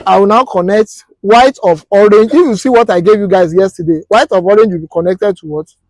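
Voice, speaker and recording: A man's voice: sits high, a little fast, darkish tone, no room sound, poor audio. A Nigerian man teaching a hands-on practical class as an instructor, explaining step by step and quizzing listeners with rhetorical questions. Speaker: technical instructor.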